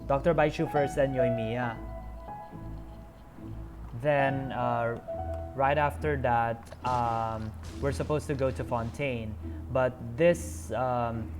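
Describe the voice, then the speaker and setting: A man hosting a game stream, talking casually and hesitantly with many fillers and apologies. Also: medium pitch.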